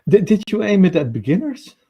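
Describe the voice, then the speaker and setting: A man with a slightly higher voice co-hosts a conversational interview. He talks eagerly but haltingly, stopping and restarting as he goes, and he laughs easily between his phrases. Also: very animated, dark tone, breathy edge. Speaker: co-host interviewer.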